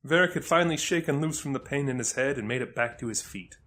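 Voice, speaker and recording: A man's voice: medium pitch, fast talker, a bit bright. A man narrating a scripted dramatic story as the narrator. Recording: music under the voice.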